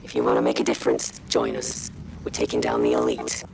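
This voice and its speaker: A man voicing an ominous anonymous hacktivist in a video game broadcast, gravelly and flat, through a voice-disguising filter. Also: extremely low pitch, fairly quick, noisy background, full character voice.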